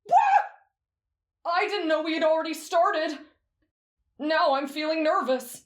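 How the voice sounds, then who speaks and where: A woman voicing an excitable, whiny teenage boy who chases girls. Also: a little slow. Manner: shrill outbursts. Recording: roomy echo.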